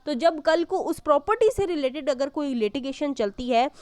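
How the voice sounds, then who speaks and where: A woman's voice: high-pitched, lively intonation, normal speed, dry recording. A woman giving an explanatory law lesson, didactic, rephrasing points and mixing in English terms. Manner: didactic, repeats and rephrases points, poses questions and answers them; mixes in English legal terms. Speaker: law lecturer.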